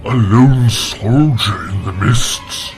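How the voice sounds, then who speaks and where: Man as a slow, solemn fantasy warrior. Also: on the low side, soft background music. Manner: stately, formal. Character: solemn, patient, pious non-human warrior brother. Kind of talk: fantasy audio drama acting.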